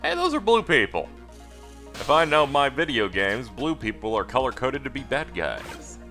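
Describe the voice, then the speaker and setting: A man's voice: mid-range voice, big pitch swings, normal speed, pushed and bright, soft background music. A man giving casual video game commentary as a let's play host.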